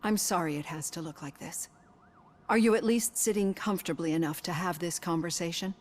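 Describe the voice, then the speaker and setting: An earnest woman doctor in a video game, played by an actress. Her voice is fairly low. She speaks in a measured, professional and reassuring way, composed like a practised psychologist. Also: a little mellow, a little hiss or hum, natural voice. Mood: calm, sympathetic.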